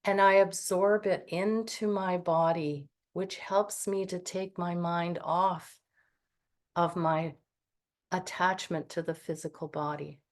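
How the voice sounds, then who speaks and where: A woman guiding a meditation, speaking in a calm, gentle and soothing way with long pauses. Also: on the low side. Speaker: meditation guide. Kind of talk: guided meditation.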